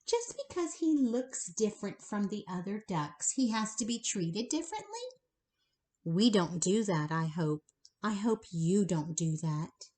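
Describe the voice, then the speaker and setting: Slow, animated woman reading a bedtime story. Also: mid-range voice. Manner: slow, animated, pausing to address the child listeners directly. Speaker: storyteller reading a children's book aloud. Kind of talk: expressive bedtime read-aloud to young children.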